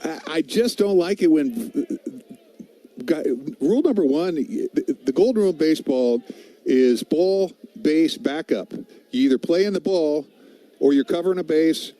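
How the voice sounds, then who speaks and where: An American man, middle-aged or older, giving conversational baseball color commentary, halting and repeating words as he explains. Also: a little high, lively intonation, mellow. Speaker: baseball color commentator, former player and coach.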